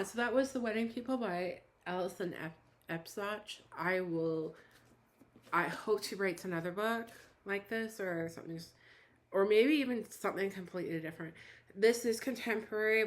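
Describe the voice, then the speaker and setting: A hoarse woman giving a chatty, rambling book review full of fillers and restarts. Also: on the low side, sharp tone. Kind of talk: casual book review chat.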